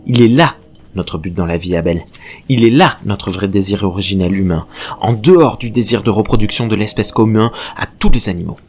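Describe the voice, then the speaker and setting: A man's voice: on the low side, brisk, a little mellow. An actor playing an obsessive, exalted male researcher given to professorial philosophical tirades in a French audio drama. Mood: exalted, lecturing. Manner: professorial, fervent, rambling monologues. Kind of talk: French science-fiction audio drama acting. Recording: clear background noise and ambience.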